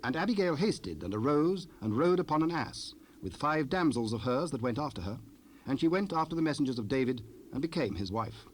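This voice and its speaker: A male narrator with a medium-pitched voice reads scripture as a formal story. His delivery is measured and scripted. It comes from a cassette rip with faint noise. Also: sing-song. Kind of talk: scripted scripture narration, King James Bible.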